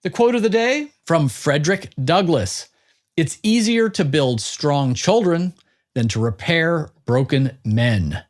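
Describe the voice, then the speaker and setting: A man's voice: fairly high pitch, expressive, takes it slowly. A man hosting an interview podcast, presenting in an upbeat, emphatic and punchy way.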